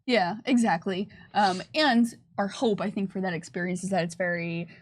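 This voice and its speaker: A woman's voice: mid-range voice, normal speed, no echo. A woman presenting a livestream as a game developer, chatting casually in a playful, teasing way.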